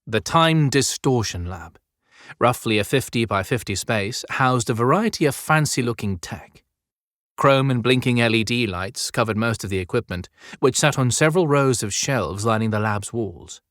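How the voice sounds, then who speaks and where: A man's voice: medium pitch, expressive, moderate pace, a bit bright, dry recording, studio quality. A man narrating a scripted adventure story as an audiobook narrator.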